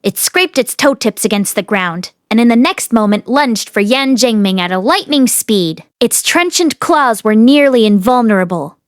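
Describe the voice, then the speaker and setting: A woman narrates a fantasy novel in a fairly high-pitched voice. She reads like an expressive storyteller working from a script. The sound is very dry, with hardly any room on it. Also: moderate pace, few pauses, no echo. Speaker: audiobook reader.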